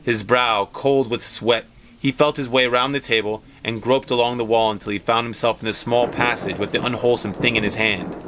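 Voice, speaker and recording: A man's voice: mid-range voice, bright, forceful tone, slight background noise, low-quality recording. A man narrating a story aloud in a dramatic, scripted storytelling style.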